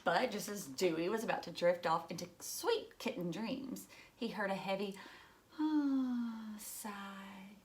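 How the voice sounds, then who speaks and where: A woman teacher reading a children's storybook aloud, expressive and dramatic, as if to young listeners. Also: mid-range voice, slow.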